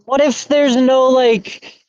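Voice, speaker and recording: A man's voice: very high voice, level tone, takes it slowly, darkish tone, clear, resonant tone, dry recording. A man co-hosting a casual podcast chat, rambling with many fillers and restarts. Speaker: podcast co-host. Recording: dull lo-fi sound.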